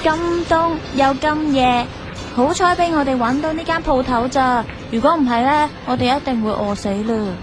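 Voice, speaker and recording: A woman's voice: sits high, moderate pace, close to an everyday voice. A woman with a Hong Kong Cantonese accent playing a sweet, eager young newlywed in an audio drama, coaxing and girlish. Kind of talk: acted audio drama dialogue. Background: music behind the voice.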